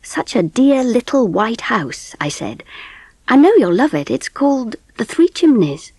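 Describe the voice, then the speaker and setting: A woman playing a gentle middle-class mother who narrates her family's story in a dramatised audiobook. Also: a little high, very animated, a little slow, slightly rough, no echo, full character voice. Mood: sombre, steady.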